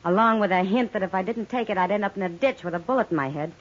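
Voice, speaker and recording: A woman's voice: mid-range voice, fast, natural voice. Woman acting a tough frontier dame, sardonic, direct, cool, muffled vintage radio recording. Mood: wry, resolute. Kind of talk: 1950s radio western acting.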